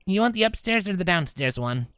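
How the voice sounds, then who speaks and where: A woman's voice: fairly low pitch, big pitch swings, a little fast, a bit bright, clear, resonant tone, dry recording, studio quality. A woman voicing a brash but caring young female pegasus pony in a fan fiction audio drama, with casual, slangy delivery. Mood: casual, tired. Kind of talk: fan fiction character acting.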